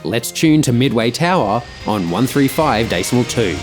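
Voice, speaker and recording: A man's voice: mid-range voice, animated, normal speed, loud music behind the voice. A man reading scripted explanatory narration about an aviation incident.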